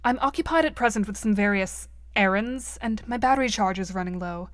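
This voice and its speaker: A woman playing a composed, bookish teenage girl with a polite, measured, formal way of talking in an audio drama. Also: medium pitch, fairly bright tone, no room sound, studio quality, natural voice. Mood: preoccupied.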